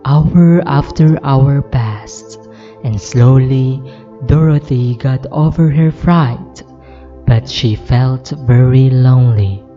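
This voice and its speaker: A woman narrating a scripted children's story in a measured, deliberate way. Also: very deep voice, dark tone, highs cut off, soft background music.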